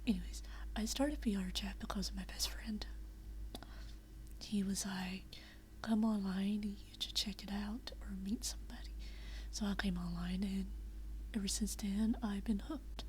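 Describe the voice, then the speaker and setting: A young woman whispering quietly at night in a living room, chatting unscripted with many fillers and restarts. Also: mid-range voice, fairly even intonation, measured pace, a little hiss or hum, poor audio. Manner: rambling with frequent fillers and restarts. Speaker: VRChat vlogger. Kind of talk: unscripted casual chat.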